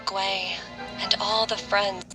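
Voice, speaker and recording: An actress playing an earnest, sorrowful woman physician and mother in a video game, speaking in a grave, measured way. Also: mid-range voice, flat delivery, lightly acted, low-quality recording. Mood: pleading. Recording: background music under the voice.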